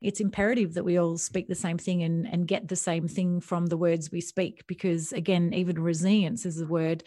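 A woman with a lowish voice hosts a podcast interview in an upbeat, agreeable way. She often pauses on fillers. The recording is dry and close. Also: flat delivery, mellow, no room sound. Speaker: podcast host. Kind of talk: interview hosting.

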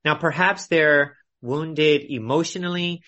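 A man teaching an expository Bible study in an earnest, instructive way with measured phrasing, speaking over an online video call. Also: fairly high pitch, bright, forceful tone, highs cut off.

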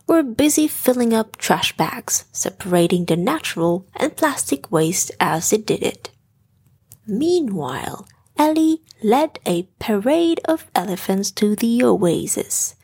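Breathy woman reading a slow bedtime story. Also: fairly high pitch, sing-song, a little mellow. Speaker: storyteller, podcast host. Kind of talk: scripted bedtime story narration.

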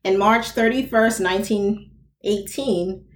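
A woman's voice: medium pitch, measured pace. A woman co-hosting a casual chat, teasing her partner and reading out facts between giggles. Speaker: co-host.

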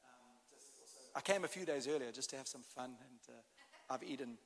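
South African pastor with a playful delivery. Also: male voice, medium pitch, creaky. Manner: playful, teasing, quick to laugh. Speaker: guest pastor. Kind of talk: sermon opening. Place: large church sanctuary. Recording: long hall reverb.

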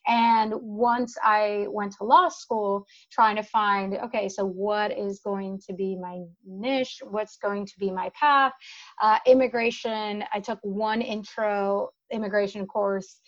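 A woman's voice: medium pitch, steady tone, slow pace. Immigration lawyer woman, muffled audio. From Dominican Republic. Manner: thoughtful, with frequent restarts. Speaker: immigration attorney. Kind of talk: conversational chat.

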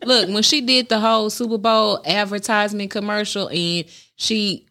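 A woman's voice: mid-range voice, steady tone, moderate pace, sharp tone. A woman co-hosting a podcast who gives blunt, profane opinions in casual chat. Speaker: podcast co-host.

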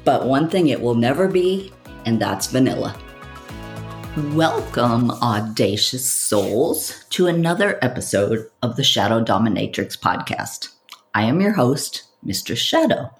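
A woman hosting a podcast, delivering a scripted monologue in a theatrical, provocative way with deliberate phrasing. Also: rumbling low. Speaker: podcast host.

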